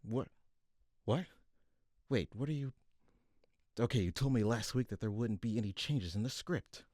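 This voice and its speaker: A man's voice: mid-range voice, normal speed, a little mellow, no room sound, studio quality, natural voice. A man acting a grandiose, theatrical character in a parody superhero radio play, with a sarcastic, exasperated streak. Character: bombastic radio announcer turned petty, exasperated, pompous supervillain leader.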